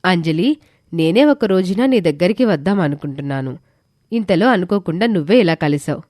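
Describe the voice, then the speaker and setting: A woman voicing a concerned, supportive schoolteacher in an animated moral story. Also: on the low side, moderate pace, dry recording, clean studio sound. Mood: kindly, supportive.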